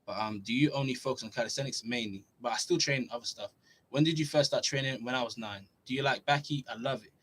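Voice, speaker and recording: A young man from Norway hosts a live question-and-answer stream, reading out viewer questions and answering in a loose, chatty manner. His voice sits at an ordinary middle pitch. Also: fast talker, breathy edge. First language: Norwegian. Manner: relaxed, chatty, reads questions aloud then answers. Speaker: fitness YouTuber answering live chat. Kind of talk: casual livestream Q&A.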